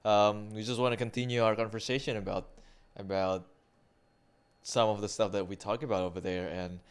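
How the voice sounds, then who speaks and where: A young man with a lowish, smooth voice hosting a relaxed chat. He steers the conversation, recaps, and prompts his co-host with easy questions. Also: moderate pace. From Indonesia. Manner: prompting, asks guiding questions. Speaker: podcast co-host. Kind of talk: casual conversational podcast.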